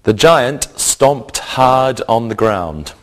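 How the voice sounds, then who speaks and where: A man teaching an English grammar lesson in a scripted, deliberate, instructional way, enunciating carefully. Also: mid-range voice, expressive.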